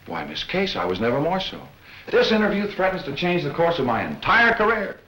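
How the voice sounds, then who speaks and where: Brisk newspaper editor, lively swings of pitch, authoritative, old echoey film serial soundtrack. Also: male voice, full character voice. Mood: wry, firm. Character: self-assured young male newspaper editor, brisk and wry. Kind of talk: 1940s film serial acting.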